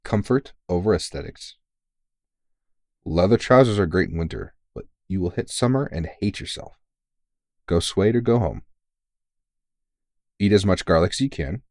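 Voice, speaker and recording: A man's voice: low-pitched, animated, normal speed, long pauses, darkish tone, dry recording, clean studio sound. A man playing a tired, kindly veteran vampire hunter who mentors a rookie in an informal, teasing, reassuring way, in an ASMR comfort roleplay. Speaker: voice actor in audio roleplay.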